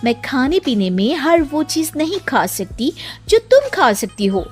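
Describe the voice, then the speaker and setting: A woman's voice: high voice, very animated, a little slow, faint music behind, voice pushed up. A woman voicing an earnest, glamorous film heroine in a cartoon story. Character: glamorous film heroine, earnest about her demanding beauty regime.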